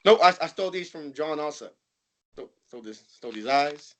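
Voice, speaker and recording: A man's voice: fairly high pitch, lively intonation, a little fast, pitched below the performer's own voice, close to an everyday voice. A man voicing a crude, defiant old sock puppet in an improvised podcast bit, swearing and stumbling over his words. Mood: grumbling.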